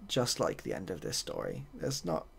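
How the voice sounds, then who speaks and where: Husky, soft-spoken man telling a story. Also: sits low, wildly swooping pitch, normal speed. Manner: hesitant, dry, rambling, self-deprecating. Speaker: ASMR video host.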